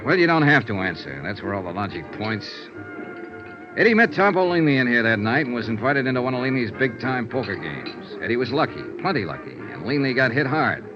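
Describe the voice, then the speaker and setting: Actor as a wry American radio detective, lively inflection. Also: male voice, medium pitch, fairly quick, over music, natural voice. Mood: probing, matter-of-fact. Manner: dry, clipped, wry. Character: wry, unflappable male insurance investigator, hard-boiled radio detective. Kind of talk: 1950s American radio detective acting with first-person narration. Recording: dull vintage radio drama recording.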